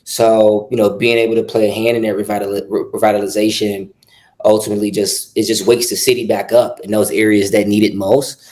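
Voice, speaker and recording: A man from the South Side of Chicago, a real estate investor talking as a podcast guest in a measured way, often repeating words. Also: on the low side, flat delivery, a little mellow, poor audio. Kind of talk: casual podcast interview.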